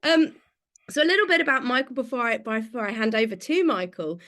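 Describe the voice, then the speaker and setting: A woman with a fairly high voice introduces a guest author over a video call. She sounds enthusiastic, with small halting restarts. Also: sing-song. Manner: warm, enthusiastic, slightly halting. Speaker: webinar host.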